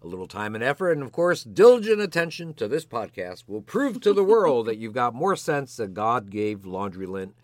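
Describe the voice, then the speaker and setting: An older man hosts with playful, alliterative flourishes, his pitch swinging widely up and down. The sound is dry and close, with no room echo. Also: big pitch swings, normal speed, few pauses. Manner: jokey, alliterative, announcer-like flourishes. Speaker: podcast co-host, physician. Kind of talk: playful scripted podcast banter.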